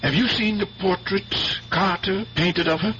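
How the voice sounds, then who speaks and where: An actor playing an earnest, blunt doctor, grave and emphatic, in a 1970s radio drama. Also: male voice, sits high, a bit bright, slight background noise. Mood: troubled recollection. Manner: grave, emphatic, deliberate phrasing. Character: earnest, worried doctor, a man, blunt and insistent. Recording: dull, hummy old radio recording.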